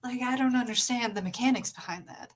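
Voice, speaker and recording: A woman's voice: fairly high pitch, brisk. A woman playing a tabletop role-playing game, chatting casually and hesitating as she works out what her character does. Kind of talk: casual in-game chat, improvised role-play.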